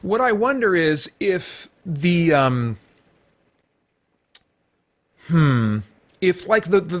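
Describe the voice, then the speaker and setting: Halting American man reviewing, slightly high voice. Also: a bit bright. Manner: halting, searching for words with trailing thoughts. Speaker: movie review host. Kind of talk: unscripted, off-the-cuff film review.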